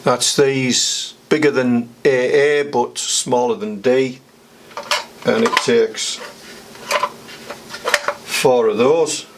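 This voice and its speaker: A man talks through a hands-on job step by step in a plain, matter-of-fact manner. His pace is slow and his pitch sits in the middle range for a man. There is some faint noise behind his casual demonstration. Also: fairly even intonation, gravelly. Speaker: DIY demonstrator.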